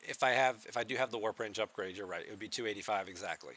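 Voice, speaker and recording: Soft-spoken, bright-toned male streamer. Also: fast talker. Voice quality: soft-spoken, low volume. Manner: relaxed, chatty, answers chat questions. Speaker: game streamer. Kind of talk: casual live-stream chat with viewers.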